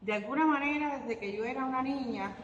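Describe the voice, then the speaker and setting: A woman's voice: high voice, brisk, dull audio. A Cuban woman, an artist and guitarist, answering interview questions reflectively with hesitant fillers and restarts. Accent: Cuban Spanish. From Cuba. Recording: echoey hall with faint ambient noise.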